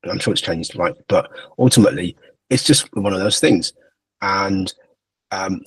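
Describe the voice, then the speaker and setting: A man's voice: low-pitched, breathy edge, dry recording, low-quality recording. A man with a Birmingham accent, a panelist speaking candidly and hesitantly on an online call. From Birmingham, England.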